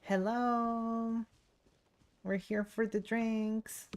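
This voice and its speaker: A woman's voice: fairly high pitch, level tone, drawn-out words. A woman streaming a video game, giving casual, laid-back commentary and reading game text aloud. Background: soft game music.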